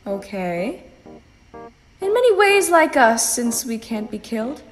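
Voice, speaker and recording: A woman playing a composed phantom in a sci-fi audio drama, reasoning in a measured, pointed and persuasive way. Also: on the high side, very animated, faint music behind. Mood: probing.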